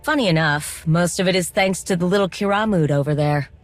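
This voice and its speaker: An actress playing a friendly, determined droid tinkerer in a video game, talking in a casual, reassuring way. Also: female voice, lowish voice, brisk, dry recording, faint music behind, lightly acted. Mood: friendly, upbeat.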